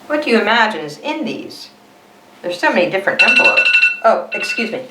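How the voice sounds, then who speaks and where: A woman's voice: mid-range voice, very animated, a little fast. A woman hosting a read-along, chatting casually to her viewers. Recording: echoey room, distant mic, faint noise.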